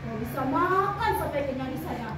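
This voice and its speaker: A young female storyteller voicing a strong, wise and gentle old grandfather who speaks calmly and steadily. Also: sits high, fairly quick. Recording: echoey hall, from far off, noisy.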